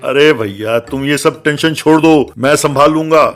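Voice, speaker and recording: Comedy cartoon crook male actor: cocky, scheming ringleader, slightly high-pitched, light music bed. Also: moderate pace, fairly bright tone. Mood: confident, scheming. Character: Bachchan, cocky scheming thief just out of jail. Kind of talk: Hindi comedy cartoon dialogue.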